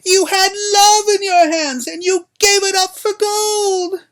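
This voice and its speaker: A man reading a storybook aloud, voicing a withered, bent old woman who yells slow, drawn-out accusations. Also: very high-pitched, lively intonation, pitched above the performer's own voice, exaggerated voice. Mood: furious accusing. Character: shrieking ancient crone, withered and bent, accusing.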